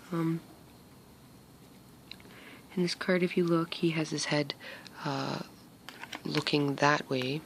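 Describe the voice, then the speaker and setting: A man talks viewers through a tarot spread in a flat, gravelly voice with a sharp, tense edge. He hesitates often, fills gaps with ums, and keeps slipping into a soft whisper. Faint noise sits under the voice. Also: mid-range voice. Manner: hesitant, many fillers, often drops to a whisper. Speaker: tarot reader. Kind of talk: casual tarot reading for viewers.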